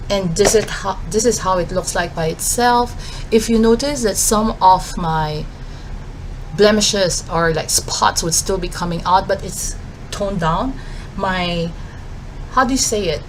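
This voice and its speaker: Slow, easygoing Filipino woman vlogger. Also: medium pitch, a little hiss or hum, poor audio. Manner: chatty, with fillers like "um" and "like" while doing makeup. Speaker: beauty vlogger. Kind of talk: casual get-ready-with-me chat.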